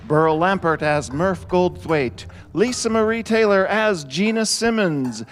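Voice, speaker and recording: A man announcing scripted radio show credits. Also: mid-range voice.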